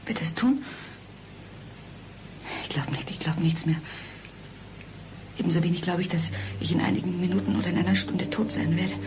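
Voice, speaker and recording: An actress playing a frightened, despairing condemned mother in a radio play, halting and breathy, often near a whisper. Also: lowish voice, steady tone, noisy background, lightly acted. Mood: fearful, anguished. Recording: music under the voice.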